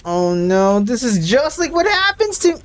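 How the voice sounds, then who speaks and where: A game streamer reacting casually, thinking aloud in a halting way. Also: mid-range voice, very animated.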